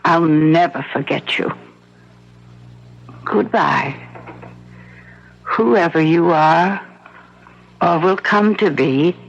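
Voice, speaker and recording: A woman's voice: on the low side, creaky, heavily acted. An actress playing a frail, blind old grandmother, tender and solemn, in a 1970s radio drama. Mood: tender farewell. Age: older. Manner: tender, solemn, halting farewell. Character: dying blind grandmother, tender, grateful and devout. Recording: dull lo-fi 1970s radio recording.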